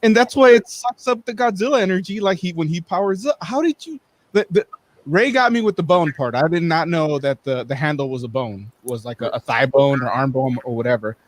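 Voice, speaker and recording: A man with a Mexican accent co-hosting a casual chat over a video call, teasing the others and halting as he talks. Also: a little high, no room sound. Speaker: podcast co-host.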